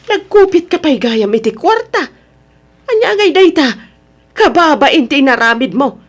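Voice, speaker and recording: A woman's voice: high-pitched, animated, normal speed. A woman playing a worried, scolding middle-aged mother in a radio drama, reproachful but caring. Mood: scolding, dismayed. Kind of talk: radio drama acting in Ilocano.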